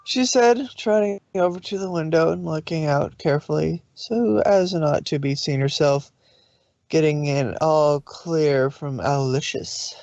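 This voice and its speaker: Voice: medium pitch, unhurried, a little mellow, no room sound. A narrator reading fan fiction aloud informally, occasionally stumbling over words, on a slightly lo-fi recording. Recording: slightly dull, lo-fi sound.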